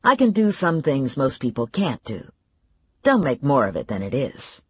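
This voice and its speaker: Woman reading a laid-back teasing guy, low husky voice, lively, dry muffled recording. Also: moderate pace, dry recording, exaggerated voice. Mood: wry. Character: grown man, calm and teasing.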